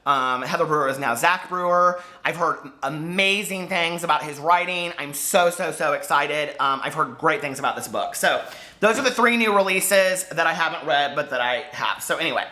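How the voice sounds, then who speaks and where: Bright, gushing man chatting to camera. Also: on the high side, normal speed. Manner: gushing, digressive, peppered with fillers. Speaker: book vlogger. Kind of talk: casual chatty vlog to camera.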